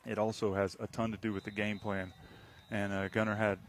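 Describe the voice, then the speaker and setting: A man calling a high school football game on local radio, chatty and rambling, teasing his broadcast partner. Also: little pitch movement, fairly quick. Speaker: play-by-play announcer.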